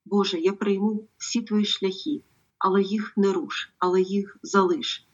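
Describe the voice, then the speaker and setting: A woman translator from Ukraine, a native Ukrainian speaker, who reads a poem and then speaks in a halting way with many pauses. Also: medium pitch, flat delivery, breathy edge, muffled recording. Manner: halting, hesitant speech with many pauses and fillers. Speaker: poetry translator.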